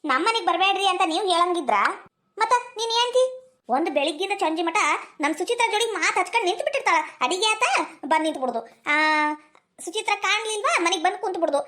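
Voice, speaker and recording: A woman's voice: extremely high pitch, lively intonation, quick pace, fairly bright tone. A woman playing a spiteful, scheming older mother-in-law in a family drama skit, taunting and melodramatic, with a North Karnataka accent.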